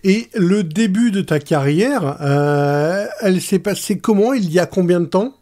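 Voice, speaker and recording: A man's voice: high voice, animated, measured pace, dark tone. A man with a French accent hosting a radio interview, introducing songs and asking questions.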